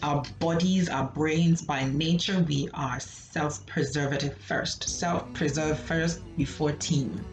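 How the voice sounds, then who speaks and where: A woman's voice: sits low, takes it slowly, few pauses, slight background noise. A woman, a student therapist hosting her channel, talking casually and rhetorically to camera and checking in with "okay?". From Nigeria. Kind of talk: casual educational talk to camera. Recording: roomy, slightly dull sound with music behind.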